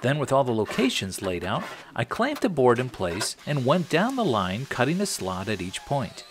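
A man's voice: medium pitch, wildly swooping pitch, normal speed, noisy background. A middle-aged American man narrating a scripted woodworking tutorial in a wry, tongue-in-cheek manner. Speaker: host of a woodworking channel.

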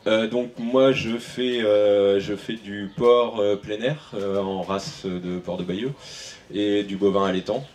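Hesitant low-voiced farmer, lots of fillers. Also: male voice, steady tone, slow pace, darkish tone. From France (Maine-et-Loire). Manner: hesitant, many fillers and restarts. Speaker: farmer on panel. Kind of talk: panel discussion answers. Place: large tent at an outdoor event. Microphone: handheld microphone.

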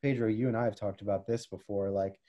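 A man's voice: fairly low pitch, steady tone, quick pace, dark tone, highs cut off. A man co-hosting a podcast, chatting casually over a video call from home with lots of fillers. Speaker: podcast co-host. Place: home room, indoors.

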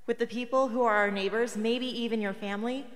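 A woman's voice: fairly high pitch, fairly even intonation, a little fast, close to an everyday voice. A woman acting a defiant, war-weary teenage rebel girl in a radio play. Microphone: close studio broadcast microphone. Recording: long hall reverb.

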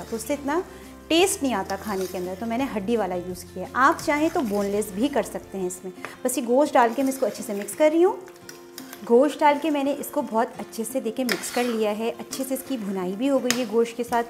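A woman's voice: a little high, darkish tone. A woman hosting a cooking show, explaining each step chattily and often praising the dish. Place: home kitchen at the stove.

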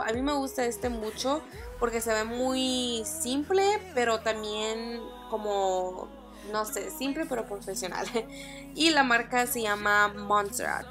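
A young woman whose first language is English, chatting upbeat through a screen-recorded tutorial with small fillers and restarts. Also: a little high. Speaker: beauty vlogger.

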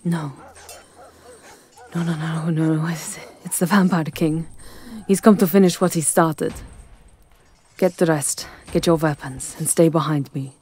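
A woman performing scripted ASMR roleplay in a hushed, deliberate, theatrical manner. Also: low voice, fairly even intonation.

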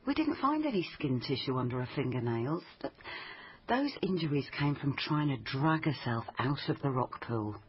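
A woman's voice: a bass voice, sing-song, moderate pace. A woman playing a persistent, probing police family liaison officer in a naturalistic radio drama. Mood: insistent. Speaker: actor in a crime drama. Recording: dull radio recording, faint noise.

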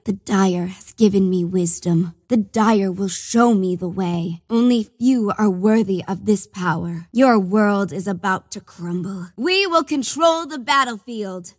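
An actress playing a bloodthirsty young female warlord of a dark cult in a video game, with imperious, menacing, theatrical delivery. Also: mid-range voice, expressive, measured pace, hardly any pauses, dry recording, clean studio sound. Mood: proud, triumphant.

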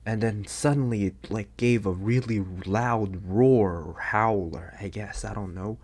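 Man acting a husky, anxious teenage werewolf boy. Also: lowish voice, darkish tone. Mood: bewildered, urgent. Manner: hesitant, halting, often breathy half-whisper. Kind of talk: ASMR audio roleplay.

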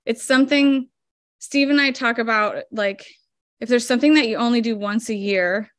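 A woman's voice: a little high, moderate pace, no room sound, clean studio sound. A woman in her thirties, a flower farmer guest explaining her work step by step with lots of fillers, over a video call from home. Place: home room indoors. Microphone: video call webcam.

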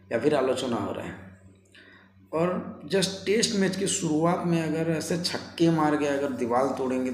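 A man's voice: medium pitch, darkish tone. A man, giving casual, colloquial sports commentary to camera like a vlogger chatting with friends. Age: 20s. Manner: colloquial, chatty, addresses viewers as brothers. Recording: roomy echo, faint background noise.

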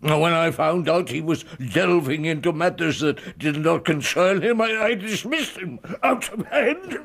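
Creaky, irascible old professor. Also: male voice, on the high side, expressive, measured pace, fairly bright tone, heavily acted. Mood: indignant. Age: older. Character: irascible, suspicious old professor scolding his servant. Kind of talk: BBC radio drama acting.

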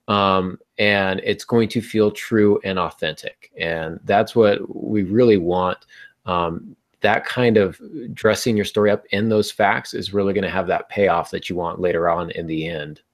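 A man's voice: sits low, steady tone, unhurried. A middle-aged man hosting a casual discussion over a video call, informal and given to joking asides. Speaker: podcast host. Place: home office indoors, video call.

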